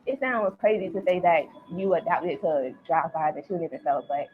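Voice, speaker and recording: A woman's voice: fairly low pitch, fairly quick. An eighteen-year-old woman giving a personal account in a documentary interview. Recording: thin phone-like noisy interview clip.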